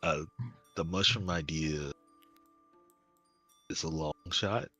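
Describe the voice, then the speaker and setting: Man, deep low voice, tabletop player, in-game dialogue, faint music behind. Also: slow, sharp tone, husky.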